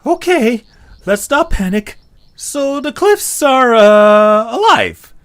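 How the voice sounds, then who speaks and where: Slow, animated, skeptical young creature played by a man. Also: very high voice, full character voice. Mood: nervous, cautious. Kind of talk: amateur audio drama acting.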